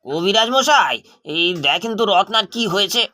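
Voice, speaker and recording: A man's voice: sits high, expressive, normal speed, pushed and bright. A man acting a poor, troubled village husband and father in a cartoon, fretful and lamenting. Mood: worried.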